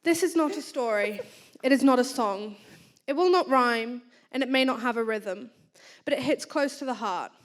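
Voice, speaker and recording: A woman reciting a free-verse poem on stage, quiet and tentative. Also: on the high side, normal speed. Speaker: student poet.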